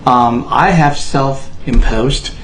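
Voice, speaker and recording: Earnest Taiwanese man, halting speech. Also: mid-range voice, fairly bright tone, slight background noise. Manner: earnest, halting, many fillers and restarts. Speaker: speaker addressing Americans. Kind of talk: unscripted personal address to camera.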